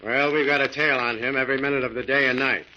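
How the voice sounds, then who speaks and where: A man playing a gruff, exasperated police inspector in an old radio drama, blunt and slangy. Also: mid-range voice, steady tone, fast, pushed and bright. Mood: blunt, irritated. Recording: dull lo-fi old radio recording.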